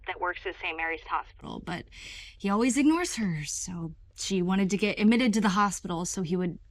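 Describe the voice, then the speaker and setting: A woman playing an anxious, hurried family friend in an audio drama, agitated and confiding, heard through a telephone filter. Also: on the low side, animated. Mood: exasperated, hurried. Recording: dull telephone-filtered sound.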